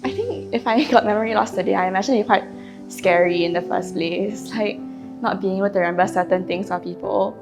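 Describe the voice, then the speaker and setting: A woman's voice: medium pitch, fairly quick. A woman, a medical student talking candidly and casually. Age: 20s. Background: guitar music.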